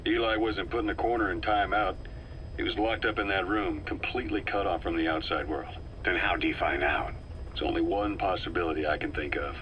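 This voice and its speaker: An actor playing a stern, hard-line military intelligence officer in a video game, measured, authoritative and accusing. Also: male voice, low voice, steady tone, a bit bright. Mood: grave, measured. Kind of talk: video game audio drama acting. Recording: dull, hissy cassette tape recording.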